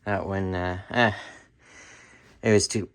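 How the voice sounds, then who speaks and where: A man in his thirties talking casually and haltingly, thinking aloud as he presents a home repair vlog on a handheld phone. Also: sits low, steady tone, clean tone. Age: 30s. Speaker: hobbyist repairer showing his work. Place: carpeted room at home. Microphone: handheld phone, at arm's length.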